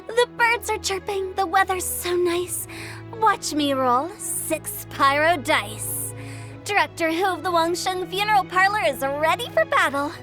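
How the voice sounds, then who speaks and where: A woman's voice: extremely high pitch, animated, measured pace, sharp tone, exaggerated voice. A woman playing a playful, theatrical young funeral director in a video game, with a teasing, mischievous delivery. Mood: gleeful bravado. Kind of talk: video game character acting. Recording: music behind.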